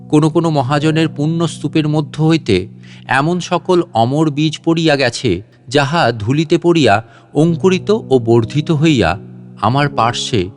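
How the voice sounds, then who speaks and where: Guy reading an old story real slow and solemn, soft guitar behind him. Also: mid-range voice. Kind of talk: slow literary narration of a classic story. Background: soft guitar music.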